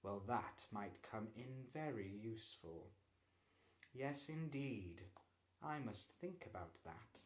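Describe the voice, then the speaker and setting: A reader voicing a sinister, soft-spoken male headmaster in a slow, murmuring, menacing way. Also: mid-range voice, animated, roomy sound.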